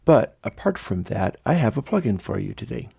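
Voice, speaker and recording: A hoarse man casually walking through an audio plugin demo, talking in a relaxed way with many fillers and pauses. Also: lowish voice, no room sound, studio quality. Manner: relaxed, hesitant, with frequent fillers. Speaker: plugin developer demonstrating audio software. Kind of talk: casual tutorial walkthrough.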